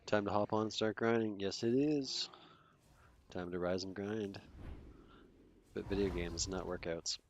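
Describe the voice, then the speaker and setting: A man's voice: low-pitched, fairly even intonation, measured pace, pauses often, a little hiss or hum. A man with a Toronto Canadian accent, chatting in a laid-back way as he streams a game and answers his chat. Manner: laid-back, chatty, trails off mid-sentence. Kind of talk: casual chat while gaming, reading chat.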